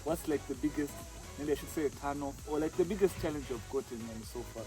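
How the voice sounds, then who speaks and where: A man's voice: on the high side, quick pace, music in the background, rough recording. A man from Tanzania hosting a casual vlog outdoors by a lake, laid-back and laughing often, with lots of "like" and "man". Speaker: vlog host. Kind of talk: casual chat.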